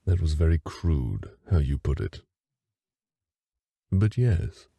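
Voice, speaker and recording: A man's voice: extremely low pitch, sing-song, takes it slowly, vocal fry, warm tone, breathy edge, exaggerated voice. An actor playing a haughty, manipulative fae father with a cold, formal, condescending way of talking in a fantasy audio drama. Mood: stern disapproval. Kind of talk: fantasy audio roleplay acting.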